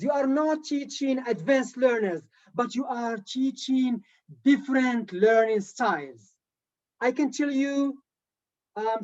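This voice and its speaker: Male TESOL trainer on a video call, upbeat and repetitive lecturing, muffled lo-fi sound. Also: very high-pitched. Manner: lively, encouraging lecturer who repeats key points. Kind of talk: live online teacher training.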